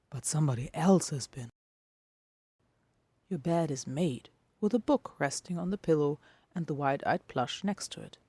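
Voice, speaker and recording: A podfic reader giving a dramatic scripted narration in a hushed, deliberate way, often dropping toward a whisper. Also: medium pitch, husky, no echo.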